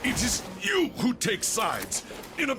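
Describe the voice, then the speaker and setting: An actor playing an indignant, zealous angel warrior in a video game, declaiming in a grandiose manner. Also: male voice, extremely high pitch, huge pitch swings, unhurried, music in the background, exaggerated voice. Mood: outraged. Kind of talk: video game cutscene acting.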